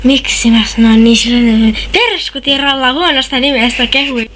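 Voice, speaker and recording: A woman's voice: high-pitched, fairly bright tone. A woman voicing a gushing, flustered, lovestruck schoolgirl in an amateur homemade dub. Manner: gushing, flustered, backtracking, babbling. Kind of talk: amateur fan dub with homemade sound effects. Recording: noisy homemade screen-capture recording with clicks.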